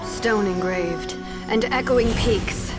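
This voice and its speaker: A woman playing a firm, solemn young female guardian in a game, speaking gravely and deliberately. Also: mid-range voice, loud music behind the voice, lightly acted. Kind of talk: dramatic game voice acting.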